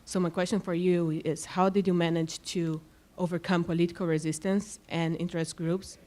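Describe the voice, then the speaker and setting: Woman with a mellow, lowish voice. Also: flat delivery, normal speed. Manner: polite, composed. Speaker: audience member. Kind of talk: asking a prepared question.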